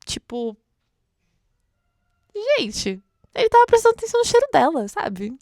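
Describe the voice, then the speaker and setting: A Brazilian woman with a fairly high voice talks through a book in a casual, chatty way. She is expressive and gushing as she comments for her audience of fellow readers. The recording is close and dry. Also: moderate pace, no echo. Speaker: host of a book read-along show. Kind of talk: casual book commentary with excerpts read aloud.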